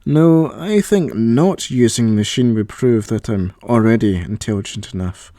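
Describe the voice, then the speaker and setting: A man's voice: lowish voice, moderate pace, warm tone, dry recording, studio quality, lightly acted. A man voicing a bewildered, dryly sceptical young boy who has lost his memory.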